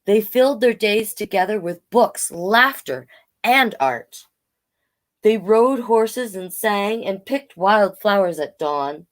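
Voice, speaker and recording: A woman hosting a storytime, reading a picture book aloud with chatty, gently encouraging asides to listeners. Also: medium pitch, expressive, takes it slowly. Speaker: children's storytime reader and host.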